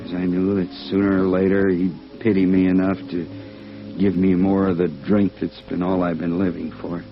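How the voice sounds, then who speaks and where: An actor playing a ruined alcoholic violinist, weary and remorseful, halting and dramatic in 1950s radio drama style. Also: male voice, low voice, level tone. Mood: remorseful, broken. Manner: halting, dramatic, self-loathing. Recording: dull vintage radio recording, music behind.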